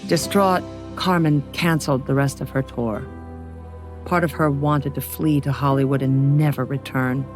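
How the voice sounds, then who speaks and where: A woman reading a scripted biography for children as a narrator, with expressive, dramatic storytelling. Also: medium pitch.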